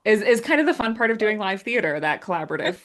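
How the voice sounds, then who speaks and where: A woman chatting casually as a writer guest on a podcast, self-deprecating and quick to laugh. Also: medium pitch, expressive. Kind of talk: casual chat.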